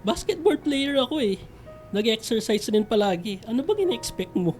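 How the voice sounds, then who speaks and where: A man's voice: high-pitched, big pitch swings, normal speed, a little mellow, soft background music. A man with a Filipino accent playing a confident, easygoing, slightly cocky young athlete in a radio drama. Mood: teasing.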